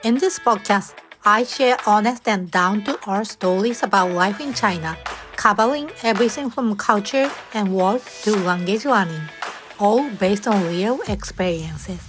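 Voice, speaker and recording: A woman host opening her podcast and interviewing a guest, brisk with short encouraging backchannels. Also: mid-range voice, fairly bright tone. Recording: music bed under the intro.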